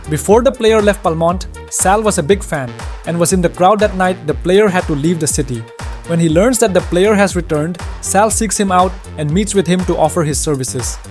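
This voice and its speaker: High-voiced man narrating a story. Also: a little mellow. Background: faint music.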